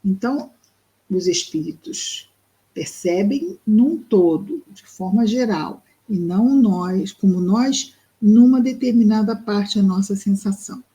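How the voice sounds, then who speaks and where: A woman with a Brazilian Portuguese accent reads and comments on a book in a calm, reflective way. She often pauses and uses fillers as she works through each idea. Her voice is mellow and dark. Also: mid-range voice, low-quality recording. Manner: thoughtful, explains slowly with pauses and fillers. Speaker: study group presenter. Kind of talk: reading and explaining a spiritist book.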